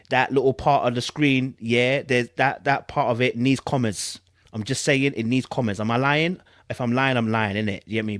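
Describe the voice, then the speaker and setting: A man's voice: medium pitch, no echo. London man, casual commentary, dry close sound. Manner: informal, slangy, repeats himself for emphasis. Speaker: YouTube commentary host. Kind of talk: casual reaction commentary with reading aloud.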